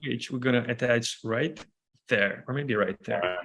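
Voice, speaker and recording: A man leads a casual discussion on a video call. His voice sits at a middle pitch. He talks in an upbeat, energetic way. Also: unhurried, gravelly. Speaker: host, bootcamp founder.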